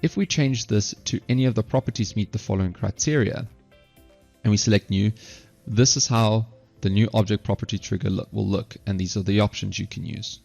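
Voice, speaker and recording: A man narrating an instructional software screencast step by step, halting at times and correcting himself. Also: fairly low pitch, gravelly, lo-fi sound, faint music behind. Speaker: software tutorial presenter.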